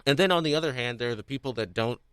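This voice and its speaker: A man's voice: mid-range voice, rapid-fire, fairly bright tone, clear, resonant tone, no room sound, studio quality. A man co-hosting a podcast in casual chat, thinking aloud in a rambling, hesitant, jokey way. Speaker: podcast co-host.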